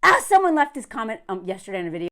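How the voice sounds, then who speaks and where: An American woman from the South talking to camera in a casual, emphatic rant, sardonic and quick to find things funny. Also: fairly high pitch, very animated, fairly bright tone. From southern United States. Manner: emphatic, sardonic, laughing often. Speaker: online content creator.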